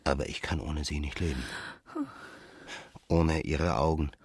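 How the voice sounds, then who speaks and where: An actor playing a suave, ardent seducer in a radio drama, flattering and insistent. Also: male voice, unhurried, no room sound, heavily acted. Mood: ardent, pleading. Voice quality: husky, very deep. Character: suave, ardent male seducer wooing a married woman.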